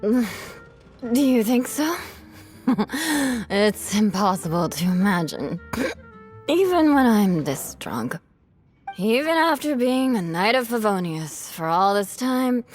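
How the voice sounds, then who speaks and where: Drunk proud female knight, game cutscene, tipsy, sighing, hesitant, very slow, lively pitch. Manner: slurred sighs, hesitant, softened. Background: soft background game music.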